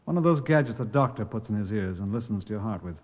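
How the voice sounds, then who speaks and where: An actor playing a keen, dogged junior detective in a 1950s radio crime drama, terse and matter-of-fact. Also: male voice, fairly low pitch, lively intonation, fast talker, a little hiss or hum, natural voice. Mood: searching, matter-of-fact. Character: keen, dogged male police subordinate detective. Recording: muffled old-time radio recording.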